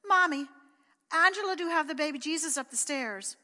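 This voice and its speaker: Woman voicing a little irish boy, high, pleading, bright, hall reverb. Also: animated, fast, exaggerated voice. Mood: insistent. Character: small Irish boy, simple and tearful.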